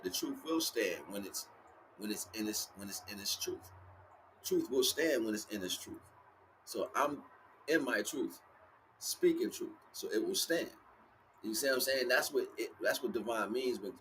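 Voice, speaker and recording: A man's voice: mid-range voice, fairly bright tone, a little hiss or hum, rough recording. A man giving a casual, freeform spiritual talk as a livestream host, repeating himself and often checking that listeners follow. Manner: repeats himself with frequent conversational check-ins. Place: outdoors.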